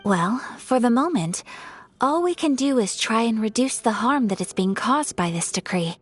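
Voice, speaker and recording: Polite formal game noblewoman, slightly high, kinda breathy. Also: expressive, moderate pace, faint music behind. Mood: calm formal. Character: young noblewoman, composed and courteous. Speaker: noble of a ceremonial commission. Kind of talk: video game voice acting.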